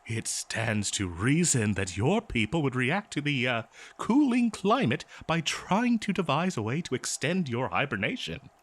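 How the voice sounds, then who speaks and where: A man playing an eager, kindly scholar in an audio roleplay, speaking politely and haltingly to a listener. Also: mid-range voice, very animated, a bit bright, exaggerated voice. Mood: fascinated. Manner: halting, flustered, polite, exclaiming. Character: eager, scholarly, kindly male researcher of a lost species. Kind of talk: scripted audio roleplay, one-sided dialogue.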